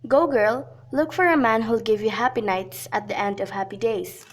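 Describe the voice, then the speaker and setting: A bawdy, encouraging nurse in a student radio play, acted by a woman. Her voice is fairly high, and she speaks in a cheeky, urging way. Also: normal speed, natural voice. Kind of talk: amateur student radio drama acting.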